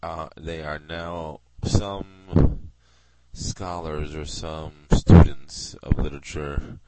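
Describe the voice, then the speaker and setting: A man's voice: extremely low pitch, steady tone, slow pace, no echo, low-quality recording. A man hosting a rambling solo internet radio show, talking into a close mic, sounding drowsy, nasal and congested, wandering from thought to thought and cutting himself off. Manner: drowsy, meandering, self-interrupting. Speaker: podcast host. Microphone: close mic, very near the lips. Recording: loud background hum.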